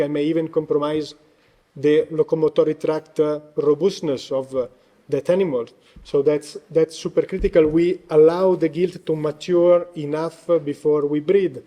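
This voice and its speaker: A man's voice: on the high side, warm tone. A man with Italian as his first language, giving a conference presentation as guest speaker while losing his voice, hesitant with frequent fillers.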